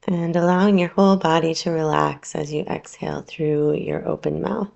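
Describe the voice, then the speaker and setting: A woman teaching yoga nidra, guiding a relaxation meditation in a soothing, unhurried, gently instructing way with long pauses. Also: sits low, fairly even intonation, creaky, muffled recording.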